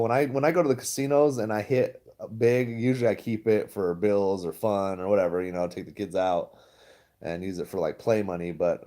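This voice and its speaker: Laid-back, low-voiced man rambling casually. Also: dark tone. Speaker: stock vlogger. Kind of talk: casual livestream chat.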